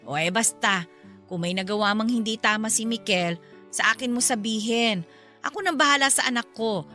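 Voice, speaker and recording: Feisty Filipino mom, high bright voice. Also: female voice, moderate pace, soft background music. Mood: frank, advising. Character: feisty, frank middle-aged mother, forgiving best friend. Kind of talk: radio drama acting.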